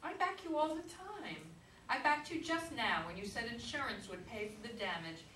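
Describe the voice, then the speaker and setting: A woman's voice: fairly high pitch, animated, moderate pace, sharp tone. Woman acting a firm, unyielding wife, distant echoey sound. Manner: firm, insistent, curt. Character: unyielding, self-righteous wife and mother correcting her husband. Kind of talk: staged play reading before an audience. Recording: echoey, noisy room, distant microphone.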